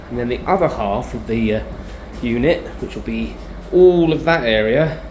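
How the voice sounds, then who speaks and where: A man's voice: medium pitch, a little mellow, a little hiss or hum. A British man vlogging casually, thinking aloud in an informal, chatty way as he shows things around him. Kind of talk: casual video diary, talking while showing the space.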